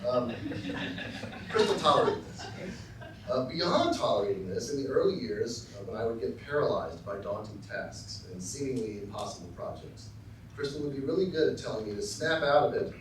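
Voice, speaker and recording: A man's voice: mid-range voice, sing-song, moderate pace, far from the mic, a little hiss or hum. Man giving a speech in an echoey hall. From Texas, US. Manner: dry, self-deprecating, with frequent ums and uhs. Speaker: professor giving an acceptance speech. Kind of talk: formal speech with light humor. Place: large lecture hall.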